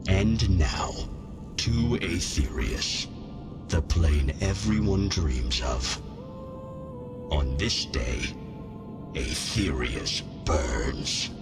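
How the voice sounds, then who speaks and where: Deep, slow, grandiose villain god. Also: male voice, exaggerated voice. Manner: grandiose, deliberate declamation. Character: triumphant godlike male villain, grandiose and scornful. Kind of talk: dramatic game voice acting. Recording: loud music over the voice.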